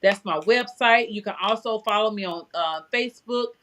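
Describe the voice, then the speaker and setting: A woman's voice: medium pitch, normal speed, fairly bright tone. A woman in her forties, a guest promoting her business in casual chat, speaking over a phone video call. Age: 40s. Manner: chatty, promotional, repeats "you know". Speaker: guest, aesthetics business owner.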